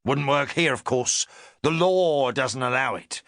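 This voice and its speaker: A man's voice: mid-range voice, a little slow, fairly bright tone, dry recording, studio quality. A man voicing a pompous, hectoring police inspector in an audiobook, talking down in jabbing phrases. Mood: lecturing. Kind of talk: audiobook character reading.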